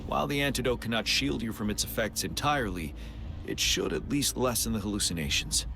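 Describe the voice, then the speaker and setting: A man playing a composed, dutiful army general in a game, speaking in a formal, measured, solemn way. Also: medium pitch, animated, vocal fry, over music. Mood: calm, explanatory. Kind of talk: English game cutscene voice acting.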